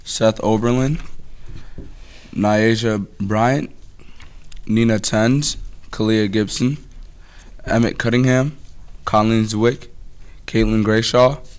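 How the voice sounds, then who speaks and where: A young man with a slightly low voice reads school announcements. He works from a script as a student presenter, listing reminders and notices. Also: steady tone, slow pace, pauses often, smooth.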